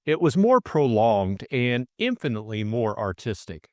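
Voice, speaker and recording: A man's voice: mid-range voice, very animated, normal speed, no echo. A man reading a story aloud as an audiobook narrator.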